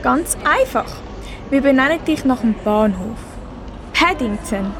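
A kind, decisive mother in a radio play acted by a female amateur. Her voice is high, with a Swiss German accent, over faint background noise. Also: lively intonation, a little slow.

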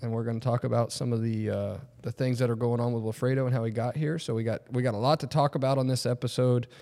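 A man's voice: mid-range voice, steady tone, fast, creaky, warm tone, in a large hall. A man hosting a casual podcast in a studio, rambling with lots of fillers and restarts. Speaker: podcast host.

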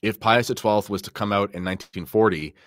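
A man's voice: on the low side, brisk, fairly bright tone. A man talking casually and emphatically as he explains religious material on a podcast. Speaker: podcast guest discussing religion. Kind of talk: casual explanatory talk with slides and notes.

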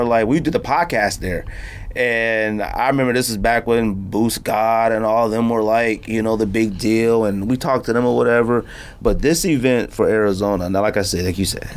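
A man with a fairly low voice hosts a laid-back podcast conversation. His talk is informal and full of slang, as in a chat between friends. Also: brisk. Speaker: podcast host. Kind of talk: casual sneaker podcast chat.

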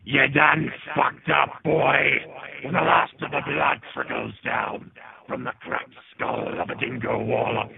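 A man putting on a gravelly Australian menace. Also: mid-range voice, full character voice. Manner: slow, theatrical threats. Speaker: caller. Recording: tinny phone line with loud noise.

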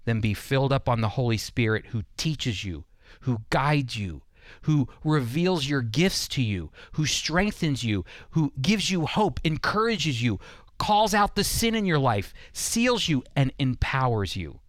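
A man delivers an evangelical teaching in a very animated voice that rises and falls widely. He is emphatic, builds points through repetition and puts questions directly to the listener. The sound is dry and close, and his pitch sits at a slightly higher-than-deep, ordinary male level with lively swings. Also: measured pace, dry recording. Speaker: Bible teacher hosting a radio program.

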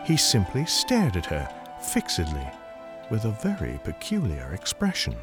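A man's voice: low voice, huge pitch swings, normal speed, music in the background. A man narrating a scripted story in a dramatic, wry, theatrical manner.

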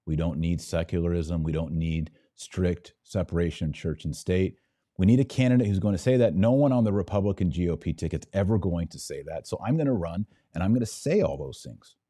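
An American man with a deep, dark-toned voice talks like a podcast host on a live show. He is conversational and opinionated, reading out comments and answering with emphatic repeats. The sound is close and dry, with very little room. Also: no room sound.

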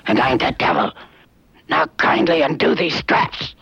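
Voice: medium pitch, sing-song, measured pace, vocal fry, fairly bright tone, breathy edge, no echo, muffled recording, full character voice. An actor voicing a demon that possesses a girl, mocking and cold, in a film clip. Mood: menacing mockery.

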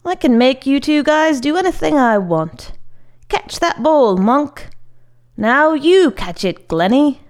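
A woman's voice: high voice, sing-song, slow, voice pushed up, heavily acted. A woman voicing a taunting, self-delighted young boy with mocking, insistent bossiness in a story reading. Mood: gleeful bossy. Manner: mocking, sing-song insistence.

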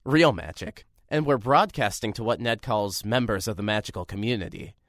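A man's voice: lowish voice, normal speed, no echo, studio quality. An actor playing a nervous, rambling young man filling in as a radio host in an audio drama. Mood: earnest, rambling. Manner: rambling, self-deprecating, lively.

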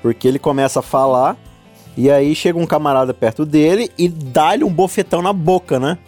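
A man's voice: fairly high pitch, a little fast, soft background music. A man co-hosting a casual Bible discussion podcast, joking and acting out lines from the story. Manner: jokey, animated, acts out quotes. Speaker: podcast co-host.

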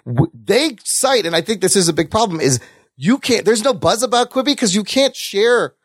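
A man hosting a podcast, chatting casually about news with co-hosts in an excitable, sweary way. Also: high voice, moderate pace, slightly rough, dry recording, studio quality. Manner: excitable, profane. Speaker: podcast host.

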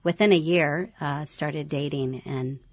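Deep-voiced woman, soft and hesitant. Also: unhurried, husky. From California. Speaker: interview guest, pastor's wife. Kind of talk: personal storytelling. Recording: muffled, dry radio studio sound.

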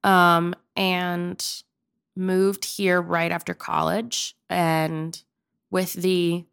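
A woman's voice: on the low side, flat delivery, drawn-out words, creaky, a bit bright, clean tone. A young woman from Pennsylvania, a guest in a casual interview, talking in a rambling, chatty way full of filler likes. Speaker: guest, opera-trained improv performer.

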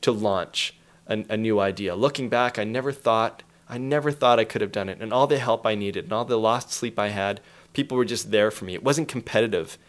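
A man's voice: lowish voice, a little fast. A man speaking as an interview guest, explaining things in an emphatic, storytelling way with acted-out quotes.

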